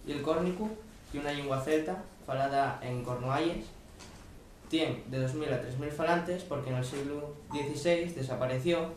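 A teenage boy giving a steady, recited school presentation. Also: on the high side, normal speed, very echoey, distant mic, a little hiss or hum. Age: teens. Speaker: student presenter.

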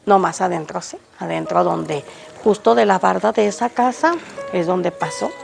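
Older Mexican woman, halting and gravelly. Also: on the low side. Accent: Mexican Spanish. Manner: halting, hesitant, many restarts. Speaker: interviewed resident. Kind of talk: street interview. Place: outdoors on a street by a house gate.

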